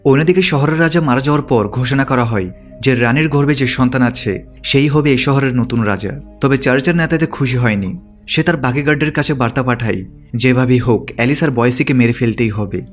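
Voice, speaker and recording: Fast-talking man narrating over music. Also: mid-range voice. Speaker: movie recap narrator. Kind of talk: scripted narration, plot recap.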